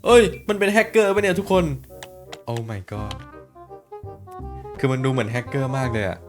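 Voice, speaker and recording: A young man reacting to a video in a loose, chatty way, full of quick exclamations and slang. His pitch sits in an ordinary middle range, with soft music faintly behind him. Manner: excitable, exclaims often, slangy. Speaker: YouTuber reacting to an animation. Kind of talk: casual reaction commentary.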